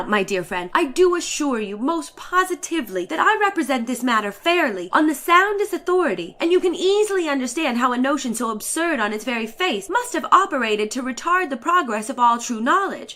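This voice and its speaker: A woman's voice: sits high, big pitch swings, moderate pace, hardly any pauses, pushed and bright. A woman reading a literary short story aloud in a theatrical, emphatic and formal manner.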